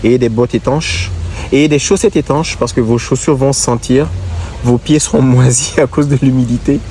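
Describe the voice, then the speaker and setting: A man with a cold and a congested voice, looking back on a motorcycle road trip and giving advice in a measured, halting way. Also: medium pitch, steady tone, warm tone, a little hiss or hum. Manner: measured, halting, gives advice directly to viewers. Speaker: motorcycle traveler. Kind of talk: casual reflective talk to camera.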